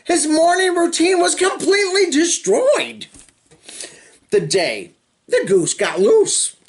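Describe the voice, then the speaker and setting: A man reading a children's picture book aloud in an expressive, sing-song way, with drawn-out phrasing and chatty asides. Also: extremely high pitch, gravelly. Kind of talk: animated storybook read-aloud with chatty asides.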